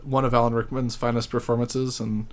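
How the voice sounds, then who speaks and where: A man's voice: mid-range voice, level tone, brisk. A man appearing as a podcast guest, chatting casually. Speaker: podcast guest, wrestling writer.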